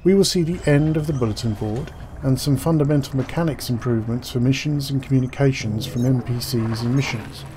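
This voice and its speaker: A man's voice: medium pitch, brisk, hardly any pauses, background noise. A man giving scripted game news commentary as a gaming commentator, articulate and formal in his wording.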